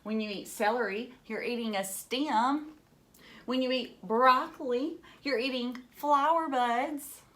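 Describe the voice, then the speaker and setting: A woman's voice: high-pitched, measured pace, a bit bright. A woman teacher reading a children's book aloud, adding simple, encouraging explanations in short phrases and putting questions to young listeners.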